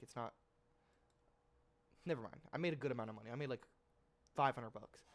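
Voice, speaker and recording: A man with a stuffy nose from a cold, host of a trading channel, talking through his trades in a loose, rambling, slangy way that keeps correcting itself. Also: mid-range voice. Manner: slangy and informal, mild swearing. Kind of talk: casual screen-share trade recap.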